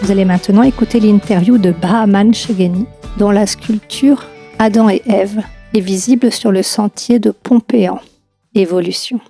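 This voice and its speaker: A woman presenter introduces the interview, then reads a French interpretation of each answer in a measured way. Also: medium pitch, music in the background. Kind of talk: scripted radio intro and French voice-over interpretation.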